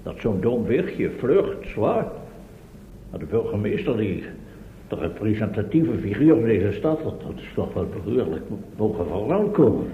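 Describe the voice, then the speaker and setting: A pompous expedition leader in a radio drama, formal and commanding, with very lively swings of pitch. The recording is dull and roomy, with faint noise behind him. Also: male voice, moderate pace. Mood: indignant. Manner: commanding, formal, self-important. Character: bossy, pompous professor leading an expedition. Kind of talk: Dutch radio play acting.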